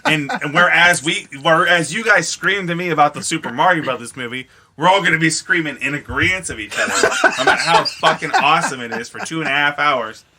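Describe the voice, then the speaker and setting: A man hosting a podcast in casual banter with friends, joking and swearing with excitable energy. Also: fairly high pitch, a little fast, hardly any pauses, heavy background noise. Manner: jokey, profane, excitable. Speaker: podcast host.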